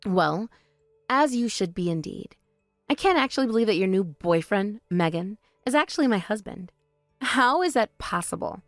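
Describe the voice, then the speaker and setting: A woman voicing a calm, composed older sister who speaks in a measured, quietly cutting way in a voice drama. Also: medium pitch, dry recording, clean studio sound, soft background music, close to an everyday voice. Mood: cool. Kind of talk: voice drama, one performer.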